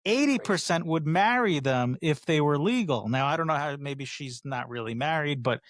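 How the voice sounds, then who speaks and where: A man co-hosting a casual talk podcast, emphatic and quick to react as he reels off figures. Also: a little high, expressive, no room sound, clean studio sound. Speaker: podcast co-host. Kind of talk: casual chat.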